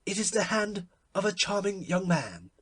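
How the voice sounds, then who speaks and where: A man's voice: high-pitched, big pitch swings, moderate pace, a bit bright, breathy edge, pitched above the performer's own voice, exaggerated voice. A man voicing an evasive, deferential male palm reader in an audiobook, careful and deprecating. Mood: forced politeness. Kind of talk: literary audiobook character voice.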